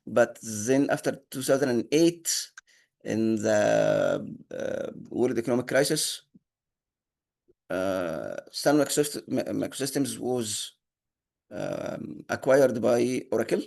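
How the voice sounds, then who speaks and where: A man whose first language is Arabic, teaching an online programming class, halting with many fillers and restarts. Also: mid-range voice, expressive, gravelly, a little mellow. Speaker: instructor. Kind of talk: online lecture.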